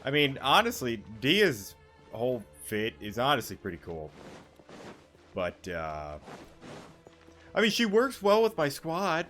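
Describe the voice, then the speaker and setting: A man with a mid-pitched voice comments casually on a game he is playing. His reactions are wry and offhand, and game music is clearly heard behind him. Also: wildly swooping pitch, slow, pauses often, fairly bright tone. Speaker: gaming streamer. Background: video game music.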